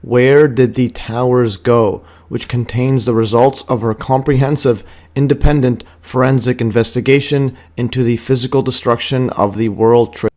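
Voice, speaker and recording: A man reading scripted documentary narration in a formal, slow and even way. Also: medium pitch.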